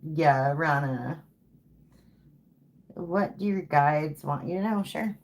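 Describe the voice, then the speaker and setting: A woman with a low voice gives a live tarot reading in an easy, unhurried way. She sounds tired and a little worn, as if her voice is going, and keeps checking in with "okay?" as she talks. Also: a little mellow. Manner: casual, repeats "okay?" and "like". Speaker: tarot reader.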